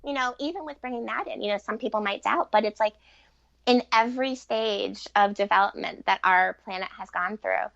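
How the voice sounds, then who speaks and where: A woman's voice: medium pitch, fairly quick, gravelly, a bit bright, no room sound, highs cut off. A woman speaking conversationally as an interview guest on spiritual matters, explaining earnestly and repeating points for emphasis. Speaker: podcast guest, author and relationship expert.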